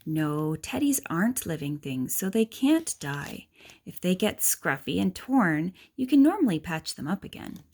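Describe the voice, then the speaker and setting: Husky woman reading to little children, lively intonation. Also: lowish voice, a little slow. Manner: gentle, explanatory, question-and-answer delivery. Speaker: reader of a children's picture book. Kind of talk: read-aloud storytelling to young children with questions and asides.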